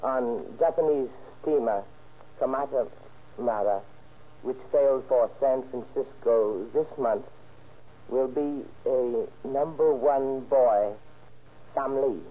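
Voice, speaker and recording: Husky, halting businessman, old radio. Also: medium pitch. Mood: guarded, businesslike. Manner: halting, clipped non-native phrasing with polite hesitations. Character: polite, guarded Chinese businessman running shady letters. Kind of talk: old-time radio crime drama acting. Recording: muffled, lo-fi old radio recording.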